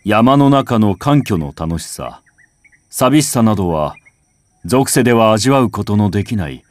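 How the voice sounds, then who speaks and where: Guy narrating a classic essay, lowish voice that moves around a lot, thoughtful dramatic phrasing, really dry sound. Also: big pitch swings, a little slow, no echo. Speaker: audiobook reader. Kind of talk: literary recitation, scripted first-person narration. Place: home recording.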